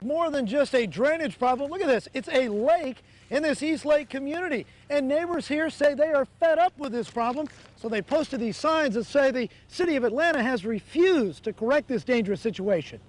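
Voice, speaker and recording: A man's voice: very high-pitched, animated, normal speed, hardly any pauses. A man working as a television news reporter, presenting on location in a punchy, demonstrative, showman style.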